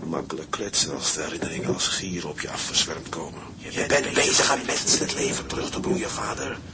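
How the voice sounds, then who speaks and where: A man's voice: a bass voice, sing-song, a little fast, gravelly, heavy background noise, full character voice. An actor playing a bossy, musing seaman in a radio drama, switching between curt orders and poetic murmurs. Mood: urging.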